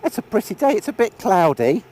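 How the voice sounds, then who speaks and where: A man's voice: sits high, sing-song, brisk, darkish tone. Bunged-up man chatting on a motorbike. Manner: joking, chatty storyteller. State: congested with a cold. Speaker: vlogger riding a motorbike. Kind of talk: casual chat while riding. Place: outdoors on a moving motorbike. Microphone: action camera on the bike.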